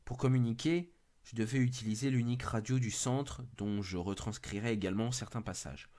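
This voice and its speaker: A man's voice: mid-range voice, fairly quick, warm tone. A man narrating a scripted first-person horror story.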